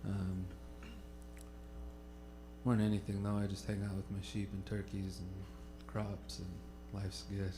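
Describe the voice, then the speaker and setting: A man introducing himself on a panel in a laid-back, low-key way. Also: completely flat delivery, measured pace, background noise. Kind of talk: casual self-introduction.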